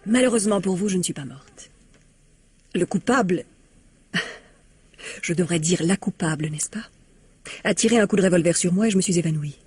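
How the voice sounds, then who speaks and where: An actress playing a dramatic wife in an old-fashioned radio mystery, with a breathy, theatrical way of talking. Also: female voice, lowish voice, moderate pace, pauses often, darkish tone. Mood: cold, scornful. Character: dramatic young wife, frightened then bitterly scornful.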